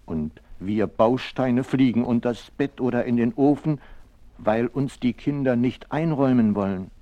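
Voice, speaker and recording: A man's voice: medium pitch, unhurried, dull audio, pitched above the performer's own voice, close to an everyday voice. A man voicing anxious toys and animals in a plaintive, agitated way for a children's radio story. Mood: plaintive, fearful.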